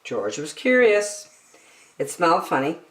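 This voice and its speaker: A woman reading a children's picture book aloud in an expressive, dramatic storytelling style, in an echoey room. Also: low voice. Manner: dramatic, with emphatic slowed phrases.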